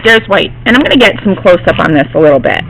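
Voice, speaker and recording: A woman art teacher, slightly hoarse from losing her voice, gives a classroom demonstration and often checks in with her students. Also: mid-range voice, animated, fast talker, noisy background. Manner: reassuring, checks in with "okay?".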